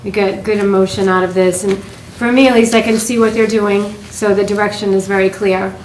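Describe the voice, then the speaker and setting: A woman's voice: medium pitch, fairly even intonation, a little fast, a little mellow. A woman opening her critique with encouraging, affirming remarks in a casual group discussion. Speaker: writers' group member. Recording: echoey room, faint noise.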